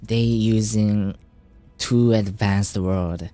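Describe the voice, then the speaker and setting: Guy streaming a game, reads and translates the text out loud, slow and unhurried, faint music under him. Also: on the low side, steady tone. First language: Japanese. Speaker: gaming streamer. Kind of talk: casual game playthrough commentary.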